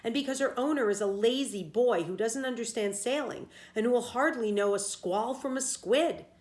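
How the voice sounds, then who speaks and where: A woman's voice: on the high side, normal speed, a little mellow, lightly acted. A woman reading a storybook, playing a blunt, passionate man who owns a toy schooner, with an emphatic delivery. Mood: heated. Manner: emphatic, forthright.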